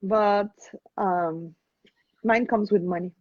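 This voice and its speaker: A woman from Mexico with a Mexican accent, a guest in a casual conversation, pausing to search for her words. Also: mid-range voice, steady tone, dry recording, highs cut off. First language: Spanish. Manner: thoughtful, searching for words with fillers.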